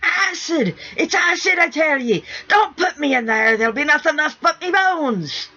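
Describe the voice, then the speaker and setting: Woman putting on a high-pitched toothless pirate rigger. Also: lively intonation, normal speed, pushed and bright. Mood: panicked. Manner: old-fashioned sailor's pirate talk. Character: grimy, toothless, legless male pirate rigger, protesting loudly. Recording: dull, lo-fi sound.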